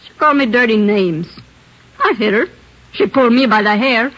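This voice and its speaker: A woman's voice: on the high side, normal speed, fairly bright tone. An American actress playing a brash, defensive married woman accused of murder in a 1950s-style radio crime drama, slangy and defiant. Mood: sullen, weary. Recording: dull lo-fi old-time radio recording.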